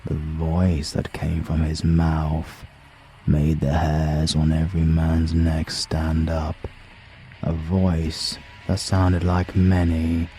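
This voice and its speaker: A British man narrates a dark audiobook in a dramatic, scripted style. His voice is extremely deep and gravelly, with a dark tone.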